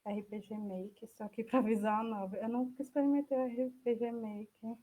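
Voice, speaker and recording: High-voiced Brazilian woman giving an online demo. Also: steady tone, fairly quick, narrow, tinny audio. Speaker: speaker giving an online talk. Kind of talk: informal software demo, answering viewer questions.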